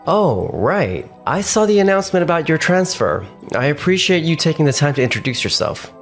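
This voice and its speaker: A man's voice: a little high, sing-song, brisk, faint music behind. A man playing a polite, conscientious young father who works in an office, speaking earnestly in a manga dub. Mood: polite, apologetic. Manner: courteous, earnest. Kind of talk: scripted manga dub acting.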